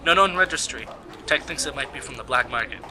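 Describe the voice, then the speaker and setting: A dutiful male police sidekick in a radio mystery, played by a man. His mid-pitched voice is plain and matter-of-fact, recorded at home with clear noise behind it. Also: animated, quick pace, close to an everyday voice. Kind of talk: amateur radio play acting. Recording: noisy amateur home recording.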